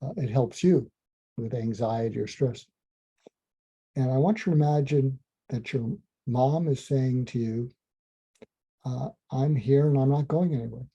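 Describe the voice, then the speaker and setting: A man, a psychiatrist, calmly recounting a case in a slow, soothing, almost hypnotic way, with frequent ums and restarts. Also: medium pitch, husky. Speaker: psychiatrist telling a clinical story. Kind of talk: calm storytelling monologue. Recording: dull lo-fi sound.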